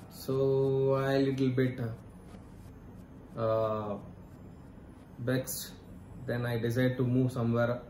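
A man narrating a wildlife vlog in a casual, hesitant way, with frequent filler sounds and halting phrases. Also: mid-range voice. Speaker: wildlife vlogger. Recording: echoey sound with loud music behind.

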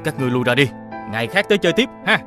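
An actor playing a greedy, boastful and suspicious rich elder brother in an animated fairy tale, with a condescending manner. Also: male voice, mid-range voice, very fast, over music, close to an everyday voice. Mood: smug, expansive. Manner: condescending, expansive.

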